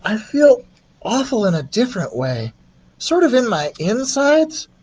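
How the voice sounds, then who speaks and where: A man's voice: extremely high pitch, huge pitch swings, a little slow, a little mellow, husky, voice pushed up, exaggerated voice. A man voicing a kind young boy who feels ill, speaking in a listless, subdued way for a storybook.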